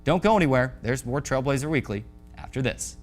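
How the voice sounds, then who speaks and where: A man's voice: medium pitch, lively intonation, a little fast, soft background music. An American man hosting a sports show, reading a scripted recap of scores in a polished announcer delivery. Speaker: sports show host and play-by-play voice.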